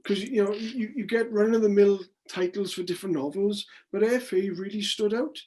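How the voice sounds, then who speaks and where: A man's voice: high voice, normal speed, dark tone, poor audio. An older man interviewing in a casual, chatty style, with a rambling, inquisitive manner.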